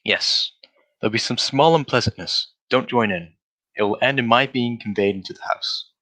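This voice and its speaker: An actor playing a brisk, self-assured gentleman detective in an old-style radio drama, with precise, formal diction. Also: medium pitch, lightly acted, low-quality recording. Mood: brisk, commanding. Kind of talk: 1940s radio drama acting.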